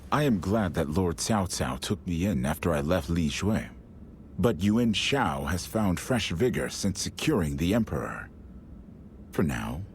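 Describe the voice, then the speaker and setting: A deep male voice plays a devoted, calculating strategist in a game cutscene. He speaks formally and thoughtfully, with lively intonation over faint background noise. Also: measured pace, exaggerated voice. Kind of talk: dramatic video game cutscene acting.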